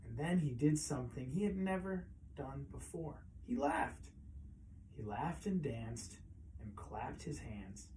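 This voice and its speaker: Mellow-voiced man reading a picture book, background noise. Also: medium pitch, lively intonation, slow pace, rough recording. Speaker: read-aloud storyteller.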